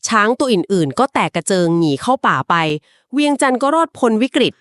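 A woman co-hosting a podcast, retelling an old legend conversationally and explaining it at length, with polite particles and short filler pauses. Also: a little high, very animated, a bit bright, no room sound, studio quality. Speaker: podcast co-host. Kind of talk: conversational storytelling of a folk legend.

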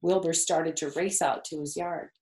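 A woman in her fifties reading a children's story aloud, measured and explanatory. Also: low-pitched, fairly even intonation, darkish tone. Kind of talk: read-aloud storybook narration. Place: living room sofa, indoors. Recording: roomy, echoey indoor sound.

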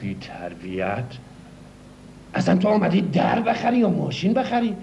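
An actor playing a boastful, pompous used-car dealer who swings between loud and hushed delivery, in a comedy sketch. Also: male voice, sits high, animated, creaky, a little hiss or hum. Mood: scheming. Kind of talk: old comedy sketch acting. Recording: dull lo-fi old recording, echoey.

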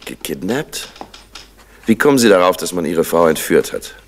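An actor playing a dry, sceptical police inspector in a vintage radio crime drama. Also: male voice, low-pitched, very animated, normal speed, background noise.

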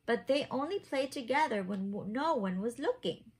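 A woman's voice: fairly high pitch, animated, normal speed, husky. A woman in her thirties reading a picture book aloud to children, adding simple questioning asides. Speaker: children's story time reader. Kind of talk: expressive picture-book read-aloud with asides to young listeners. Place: small home room, indoors.

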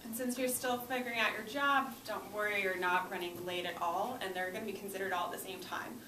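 A young woman in her twenties joins the presentation, adding remarks with eager enthusiasm. The recording is echoey and distant, with clear room noise behind her. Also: mid-range voice, steady tone, a little fast. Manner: enthusiastic, chiming in. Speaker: student group co-president. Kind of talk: casual presentation. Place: lecture room. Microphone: far camera.

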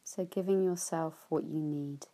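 A woman leading a guided yoga nidra relaxation, speaking softly and often trailing into whispers at phrase ends. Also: deep voice, fairly even intonation. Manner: soft, slow, with whispered phrase endings.

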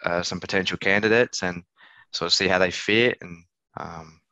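A man in his thirties, a business owner giving casual, halting interview answers, thinking aloud. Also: sits low, steady tone, sharp tone, no room sound. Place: small office on a video call. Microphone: headset. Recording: slightly dull video-call audio.

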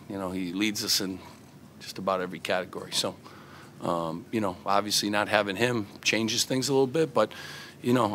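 A middle-aged man answering reporters after a game, his voice a bit low in pitch but bright and forceful. He talks earnestly, with plenty of fillers and restarts, into microphones on a table, and a faint hum of room noise sits under him. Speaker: head basketball coach. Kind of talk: postgame press conference answers. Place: press conference room, seated at a table.

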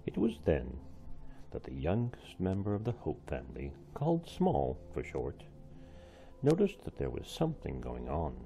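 A man's voice: a bass voice, huge pitch swings, normal speed, gravelly, dark tone, faint music behind. A man reading a storybook aloud expressively, like a teacher with a class.

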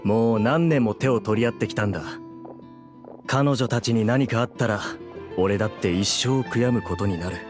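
A man's voice: fairly low pitch, moderate pace, music in the background, close to an everyday voice. An actor playing a chatty, gossipy but loyal policeman in a Japanese video game, casual and conversational.

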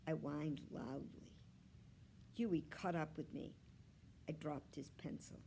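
A woman voicing an earnest, fretful pet dog who tells his own story, in expressive, confiding storybook narration. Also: low-pitched, slow pace, a little hiss or hum.

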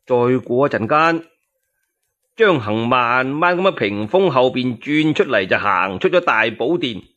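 A male storyteller with a Cantonese accent tells a historical tale. His delivery is dramatic, with pitch that swings widely. It is solo radio narration, full of expression. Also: animated, measured pace, a bit bright, highs cut off.

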